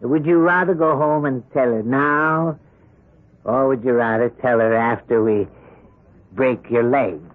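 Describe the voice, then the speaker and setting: An actor playing a smooth, menacing bookie with a coolly insistent, politely threatening manner in a 1970s radio drama. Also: male voice, mid-range voice, unhurried, slight background noise, close to an everyday voice. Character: smooth, menacing male bookie and debt collector. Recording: tinny band-limited old radio broadcast.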